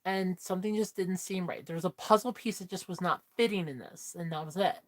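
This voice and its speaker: A woman's voice: on the low side, brisk. A woman in her thirties chatting candidly with viewers on a livestream, telling a personal story in a rambling way full of fillers. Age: 30s. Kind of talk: casual chat with viewers.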